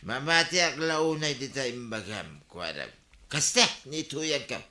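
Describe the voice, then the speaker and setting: A man's voice: medium pitch, expressive, unhurried, sharp tone. A man playing a boastful, grumbling married villager in an Ilocano radio comedy, with an Ilocano Filipino accent.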